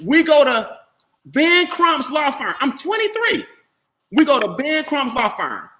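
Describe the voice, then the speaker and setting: A man telling a long, animated story on a group video call as host and trainer, loud and laughing, with casual slang. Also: very high voice, a little slow. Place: home room on video call. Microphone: webcam or laptop mic on video call. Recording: echoey room, faint noise.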